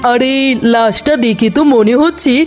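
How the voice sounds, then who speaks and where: Woman as a fairly high-voiced cartoon tiger husband. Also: normal speed, a little mellow, natural voice. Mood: concerned. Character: concerned male tiger elder, authoritative husband, in cartoon. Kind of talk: animated children's story dialogue. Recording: music behind.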